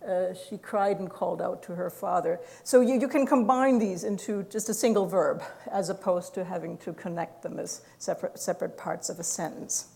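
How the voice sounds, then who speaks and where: Woman, university lecturer, academic talk, gravelly voice, explanatory, frequent fillers. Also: on the low side, normal speed, few pauses, warm tone.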